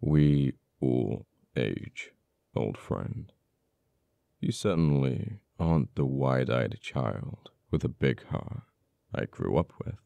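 A heavily creaky, dark male voice , acted by a man, plays a cold, uptight official in an audio roleplay. He taunts a captured former friend slowly, with deliberate dramatic pauses. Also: a bass voice, animated, no room sound, full character voice. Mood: wistful teasing.

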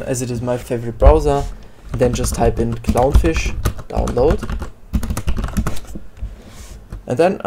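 A man narrating a casual software tutorial in a hesitant, halting way. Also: medium pitch, gravelly, a little hiss or hum. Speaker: tutorial host.

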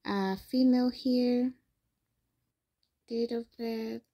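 A woman's voice: on the high side, fairly even intonation, takes it slowly, vocal fry, warm tone. A young adult woman from Ghana, hosting a screen-recorded phone tutorial and explaining each step patiently. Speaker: tutorial host.

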